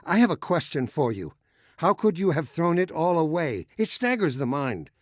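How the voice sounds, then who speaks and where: An actor playing a pompous, authoritarian male administrator in a video game, giving measured, formal, oratorical speeches. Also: on the high side, big pitch swings, no echo, clean studio sound. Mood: bitter reproach. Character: pompous authoritarian male administrator, polished collaborator addressing his subjects.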